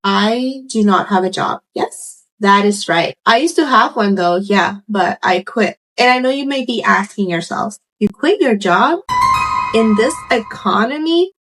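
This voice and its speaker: A woman, telling stories casually to camera in an animated, conversational way full of asides. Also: mid-range voice, unhurried, few pauses, faint music behind, rough recording. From Puerto Rico. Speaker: host of a storytime video channel. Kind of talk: casual chatty storytelling to camera.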